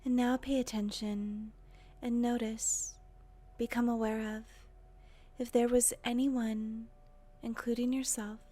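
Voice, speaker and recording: A woman leading a guided meditation in a gentle, breathy voice with long, unhurried pauses. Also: on the high side, flat delivery. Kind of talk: guided meditation, soothing narration.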